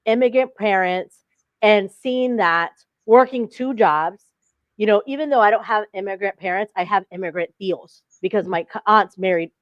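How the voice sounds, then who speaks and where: A woman's voice: mid-range voice, moderate pace, dry recording. Effusive woman host, dull dry call audio. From born in Philadelphia, raised in Chicago. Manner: effusive and encouraging, repeats praise. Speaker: interview host. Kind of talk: casual interview conversation.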